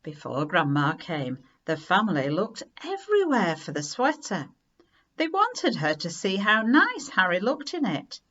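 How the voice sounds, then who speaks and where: Slow, lively woman reading a children's story. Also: mid-range voice, dull audio. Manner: unhurried, animated, dramatic emphasis. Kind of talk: expressive read-aloud children's story narration.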